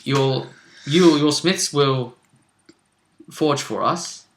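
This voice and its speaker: Thoughtful man musing on a tabletop game. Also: medium pitch. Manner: thoughtful, with frequent restarts and drawn-out words. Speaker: game master and co-commentator. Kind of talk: casual discussion of a tabletop game. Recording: roomy echo.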